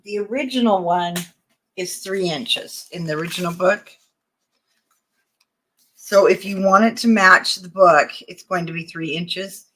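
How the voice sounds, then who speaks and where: Older woman, losing her voice, bright tone, lowish pitch, chatty craft teacher. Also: measured pace, pauses often, rough recording. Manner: chatty, greets viewers while working. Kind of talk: live-streamed craft tutorial.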